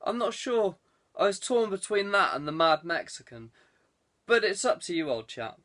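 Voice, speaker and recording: A man's voice: sits high, lively intonation, moderate pace, a bit bright, pitched above the performer's own voice. A man voicing a wry, teasing saboteur given to sardonic banter in an audiobook. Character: wry, teasing male saboteur, ribbing his friend.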